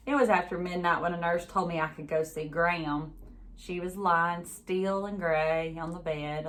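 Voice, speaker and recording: A woman giving an expressive read-aloud narration of a children's novel. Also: fairly low pitch. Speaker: teacher reading a novel aloud.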